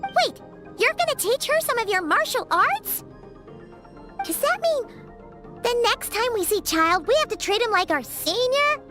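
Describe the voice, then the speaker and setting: A woman's voice: sing-song, unhurried, over music, heavily acted. A woman voicing a tiny, chirpy female fairy companion in a video game who talks about herself in the third person. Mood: excited. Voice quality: squeaky, bouncy, refers to self in third person.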